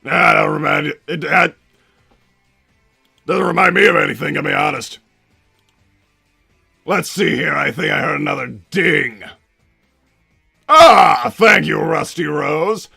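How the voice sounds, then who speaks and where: Bombastic cartoon villain scientist, middle-aged male, forceful, gravelly delivery, exclaiming theatrically in a livestream Q&A, music underneath. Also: on the high side, unhurried, pauses often, full character voice. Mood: blustering, bemused. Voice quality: gravelly, raspy. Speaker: livestream host in character. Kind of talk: improvised in-character livestream Q&A, reading out viewer messages.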